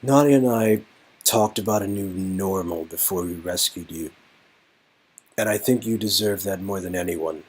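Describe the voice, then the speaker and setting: Romantic game lead read by a man: young guy, low slightly rough voice, earnest, teasing, emphatic delivery. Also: normal speed. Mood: earnest, tender. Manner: encouraging, playful, emphatic. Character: earnest, teasing young man comforting his love interest.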